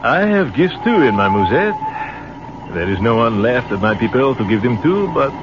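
Gracious, worldly French captain with a French accent, played by a man for vintage radio drama; melodic, expressive delivery. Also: mid-range voice, moderate pace, natural voice. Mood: courtly. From France. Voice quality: courtly, philosophical, sprinkles French words. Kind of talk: 1940s radio acting. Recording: dull old radio broadcast recording with faint hiss.

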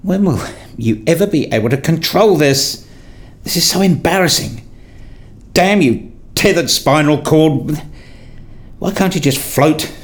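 A man's voice: fairly high pitch, lively intonation, unhurried, long pauses. A man playing a frustrated, pleading father who tells his own life in a radio play, wordy and earnest. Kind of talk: first-person radio play narration and dialogue.

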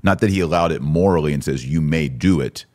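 Deep-voiced man explaining religious views. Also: fairly quick, a little mellow. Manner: explanatory, teacherly, emphatic. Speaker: podcast host. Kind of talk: conversational religious commentary.

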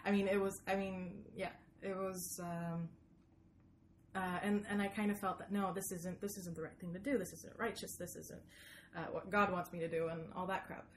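Young woman, chatty and animated storyteller who interrupts herself, roomy sound with faint noise. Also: lowish voice. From Sweden. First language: Swedish. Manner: animated, halting, self-interrupting. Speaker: podcast host being interviewed. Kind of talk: casual conversational storytelling. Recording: roomy echo, background noise.

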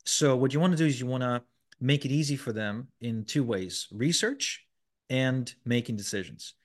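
A man of middling pitch talks through his ideas as a podcast guest. He explains step by step, with plenty of fillers and false starts along the way. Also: moderate pace. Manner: explanatory, many fillers and restarts. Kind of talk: conversational interview answers.

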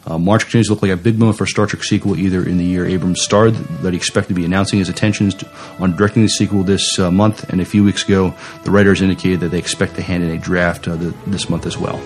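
A man co-hosting a podcast, reading news informally in a halting way with repeated words. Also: low-pitched, level tone, soft background music. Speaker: podcast co-host and news reader.

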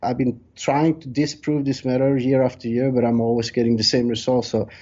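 A man's voice: mid-range voice, flat delivery, a little fast, warm tone. A man in his thirties from Sweden with a Swedish accent, answering interview questions conversationally as a guest. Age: 30s. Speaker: interview guest, real estate developer. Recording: dull, lo-fi call audio.

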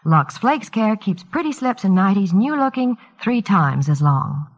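Very animated vintage radio ad woman. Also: medium pitch, measured pace. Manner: scripted sales delivery. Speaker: commercial announcer. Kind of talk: 1940s-50s radio ad read. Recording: dull old radio broadcast.

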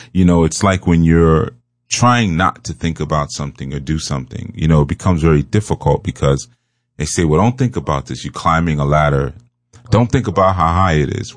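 A man giving a spiritual teaching talk, speaking slowly and emphatically and repeating his key phrases. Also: very deep voice, no echo.

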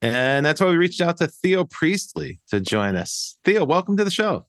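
A man's voice: a little high, sing-song, normal speed, no room sound, clean studio sound. A man hosting a podcast, interviewing a guest in a friendly, upbeat way. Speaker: podcast host.

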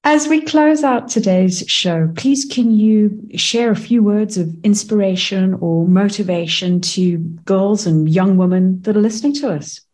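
A woman's voice: medium pitch, a little slow, hardly any pauses, darkish tone. A woman hosting a radio interview show, encouraging her guest and closing the show with a polished sign-off.

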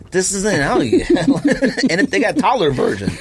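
A man's voice: high-pitched, a little hiss or hum. A man co-hosting a podcast, chatting casually and teasing his friends with lots of laughter. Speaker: podcast co-host. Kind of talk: casual chat about sneakers.

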